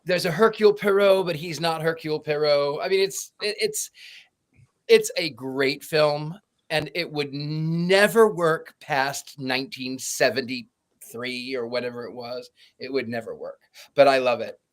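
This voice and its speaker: Male panelist, slightly high voice, lively storyteller who acts out quotes and searches for words mid-sentence. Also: unhurried. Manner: animated anecdote teller, acts out quotes, stumbles for words. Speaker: podcast panelist. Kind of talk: casual chat, storytelling.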